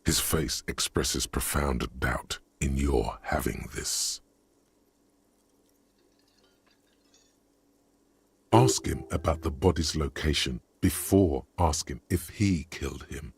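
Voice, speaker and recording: An actor voicing a grave, erudite inner voice of a detective's mind, gravelly and solemn, narrating a video game. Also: male voice, very deep voice, measured pace, hardly any pauses, dry recording, heavily acted. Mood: instructive. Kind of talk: scripted literary game narration. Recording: music behind the voice. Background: game music.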